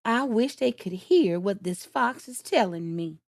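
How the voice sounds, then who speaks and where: Vain proud female crow, as a woman reads her; swooping, showy pitch, dry close sound. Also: animated, a little slow, gravelly, darkish tone, no room sound. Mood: delighted. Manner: boastful, prideful.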